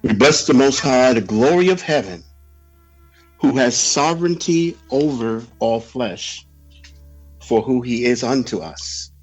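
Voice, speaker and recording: A man's voice: mid-range voice, lively intonation, measured pace, muffled recording, soft background music. A man reading a scripted sermon in a solemn, reverent, formal oratorical manner. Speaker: preacher reading a prophecy report.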